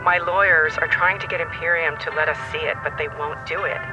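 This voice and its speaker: A woman playing a dramatic leading-lady actress, telling her story earnestly and haltingly in a TV interview. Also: sits low, steady tone, highs cut off, over music, close to an everyday voice. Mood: insistent, mysterious. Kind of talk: TV talk show interview acting in audio drama.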